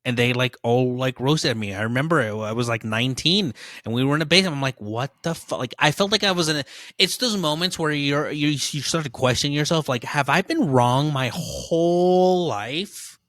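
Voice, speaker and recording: A man's voice: a little high, animated, normal speed, a bit bright, no echo, clean studio sound. Laid-back teasing man on a podcast. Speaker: podcast host. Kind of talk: casual podcast chat.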